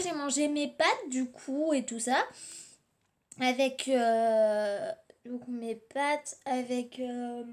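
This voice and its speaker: A tired, slightly hoarse young woman, rambling casually through a home vlog on her tablet, full of fillers and restarts. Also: fairly high pitch, a little mellow. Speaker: vlogger. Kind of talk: casual daily vlog chat. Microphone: tablet camera.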